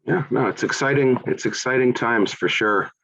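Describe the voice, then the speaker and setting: A man's voice: medium pitch, moderate pace, creaky, a bit bright, lo-fi sound, a little hiss or hum. A man from the United States hosting a business interview, asking questions in a hesitant, conversational way with many repeated words. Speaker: interviewer, podcast host.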